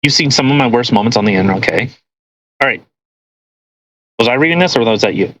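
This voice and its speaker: A podcast co-host with a medium-pitched voice talks casually and reads aloud in between. The delivery is crude and mocking, with plenty of swearing thrown into the commentary. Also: animated, quick pace, a bit bright, dry recording. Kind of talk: casual commentary while reading a post aloud.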